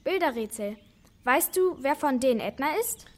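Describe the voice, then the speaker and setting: A woman playing an excited young birthday girl in a children's radio drama. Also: high-pitched, normal speed. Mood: playful.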